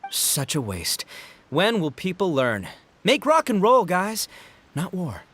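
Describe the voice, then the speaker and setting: Loud, swaggering young rocker oni. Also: male voice, high-pitched, huge pitch swings, slow pace, exaggerated voice. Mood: earnest. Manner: bombastic, slangy, swaggering. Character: boisterous, boastful young male oni gang boss, goofy rocker. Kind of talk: animated video game voice acting.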